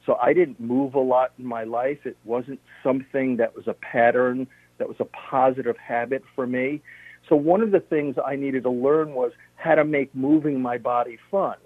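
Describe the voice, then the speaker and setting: Guy walking through health tips point by point, bit husky, sounds like a phone call. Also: medium pitch, moderate pace, dry recording. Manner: steady, instructive, lists points one by one. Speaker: health practitioner guest. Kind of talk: radio interview, explaining health tips. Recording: narrow phone-line sound.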